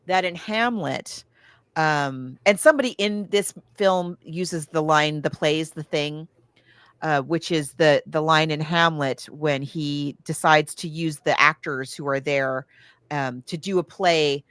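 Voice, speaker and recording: A woman's voice: low-pitched, a little slow, fairly bright tone, smooth, no echo, clean studio sound. A woman chatting casually and effusively as she reviews books and films as a co-host. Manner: enthusiastic, effusive.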